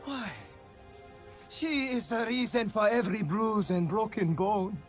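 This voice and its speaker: A man's voice: high voice, unhurried. A man playing an enraged young alien warrior out for revenge in a video game, bitter and accusing. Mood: bitter, vengeful. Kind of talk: video game cutscene acting. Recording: music underneath.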